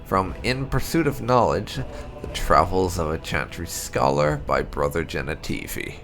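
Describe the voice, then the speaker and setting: A man reading fantasy lore aloud as dramatic narration, in a deliberate storyteller's delivery. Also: low voice, sing-song, a bit bright. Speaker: gameplay channel host. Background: music.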